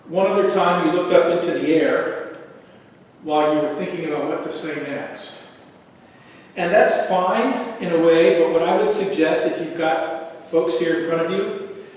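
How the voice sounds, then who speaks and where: A man with a tenor voice evaluating a speech at a club meeting, measured and encouraging. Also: on the high side, slight background noise. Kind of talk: spoken feedback. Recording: echoey hall from far off.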